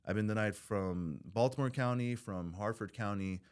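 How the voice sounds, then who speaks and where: Male guest from Southern California, very low voice; candid, profane, loose and rambling delivery. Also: normal speed, clear, resonant tone. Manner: blunt, swears freely, rambling with restarts. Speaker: podcast guest in recovery. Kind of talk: candid podcast conversation.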